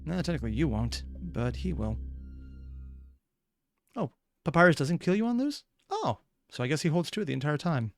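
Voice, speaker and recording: A man's voice: on the high side, big pitch swings, normal speed, creaky, faint music behind. A man hosting a reaction video, commenting casually in a rambling, analytical way.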